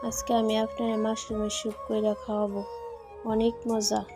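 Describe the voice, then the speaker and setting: A woman's voice: a little high, completely flat delivery, moderate pace, music in the background, lightly acted. An actress playing a cheeky, folksy young female helper with a colloquial Bangladeshi accent in an audio drama. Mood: eager, chatty. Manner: chatty, folksy, teasing. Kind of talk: dramatized audio story acting.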